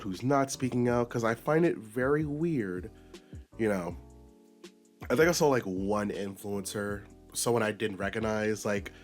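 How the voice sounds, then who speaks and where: Hoarse, nasal man ranting on his channel. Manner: emphatic, with sudden high-pitched bursts. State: raspy, slightly nasal from a recent illness. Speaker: commentary YouTuber host. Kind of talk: unscripted rant commentary.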